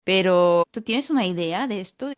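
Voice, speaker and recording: A woman from France, a native French speaker, interviewing casually with halting, roundabout phrasing and repeated words. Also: medium pitch, expressive. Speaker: interviewer, podcast host.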